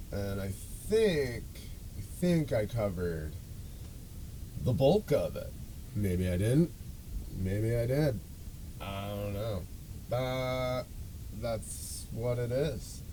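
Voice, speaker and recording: A man's voice: lowish voice, mellow, a little hiss or hum, poor audio. A man hosting a podcast, telling a story in a casual, rambling, self-deprecating way with loose swearing. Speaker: podcast and show host.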